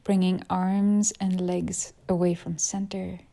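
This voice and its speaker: A woman guiding a yoga nidra meditation as a teacher, with soft, breathy phrase endings and unhurried pauses. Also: lowish voice, flat delivery. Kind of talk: guided meditation.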